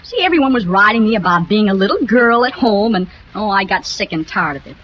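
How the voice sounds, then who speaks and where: A woman's voice: mid-range voice, lively intonation, brisk, lightly acted. Woman playing a flustered, girlish teen in old radio. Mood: sheepish, miserable. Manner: gushing, hesitant, girlish. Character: flustered, naive teenage girl who fibbed about a boyfriend. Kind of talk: 1940s radio comedy-drama acting. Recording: dull, hissy old radio recording.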